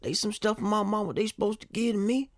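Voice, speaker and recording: A man playing an old, teasing former slave and musician in an audio drama, with a husky, gravelly drawl. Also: sits high, flat delivery, fairly quick, no echo, studio quality. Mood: reflective, matter-of-fact. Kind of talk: nostalgic audio drama acting.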